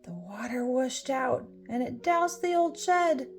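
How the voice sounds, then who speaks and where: A woman reading a rhyming children's storybook aloud, with expressive, deliberate phrasing. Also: sits high. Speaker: storybook reader. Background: music.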